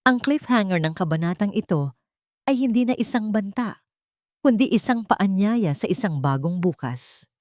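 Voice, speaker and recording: A woman's voice: medium pitch, expressive, moderate pace, darkish tone, no room sound, studio quality. A woman with a Filipino accent reading a scripted dramatic story as an audiobook narrator.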